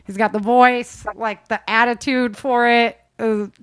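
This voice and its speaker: A woman's voice: a little high, level tone, measured pace, clear, resonant tone, no echo, clean studio sound. A woman hosting a casual group chat, interviewing performers in an informal, chatty way and prompting others to speak. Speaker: host and producer of an audio drama.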